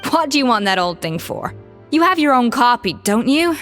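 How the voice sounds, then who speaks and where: A self-assured female chef for a video game. Her voice sits fairly high and sounds cool and exacting. Reverb trails behind it, with faint music below. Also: sing-song, normal speed, a bit bright. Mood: uneasy.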